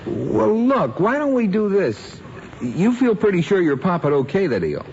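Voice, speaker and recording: A man with an American accent playing a smooth-talking, folksy used-car seller in a vintage film. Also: sits high, wildly swooping pitch, normal speed, darkish tone, heavily acted. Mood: persuasive. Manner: persuasive, folksy. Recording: muffled vintage film soundtrack, faint noise.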